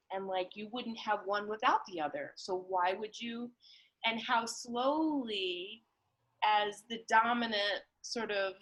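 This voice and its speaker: A woman speaks at an unhurried pace, with a medium pitch. She is thoughtful and somewhat halting, feeling her way through ideas as in a reflective, casual conversation. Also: fairly bright tone, poor audio. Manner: halting, thoughtful, searching for words.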